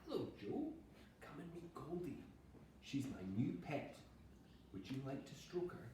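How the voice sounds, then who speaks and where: Man voicing a gentle storybook grandmother, friendly, coaxing, lightly raised pitch, distant mic, echoey classroom. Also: very animated, unhurried, a little hiss or hum. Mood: warm welcome. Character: kindly, reassuring grandmother from a children's story.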